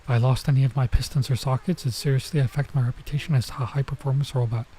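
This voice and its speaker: A man's voice: mid-range voice, fairly even intonation, quick pace, dark tone, husky. A man doing a let's play, reading a visual novel aloud and breaking off for casual side comments. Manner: stops to remark on and reread the text.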